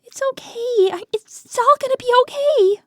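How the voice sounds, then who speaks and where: A woman voicing a kind, self-sacrificing young hedgehog princess in a cartoon comic dub, earnest and expressive. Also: extremely high pitch, normal speed, dry recording, studio quality. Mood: brave, resigned.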